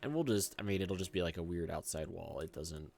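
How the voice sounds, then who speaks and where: A young man hoarse from a cold, rambling casually with lots of fillers as he hosts his gameplay commentary. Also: sits low, expressive, a little fast, darkish tone. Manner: rambling, filler-heavy and chatty. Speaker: gaming channel host.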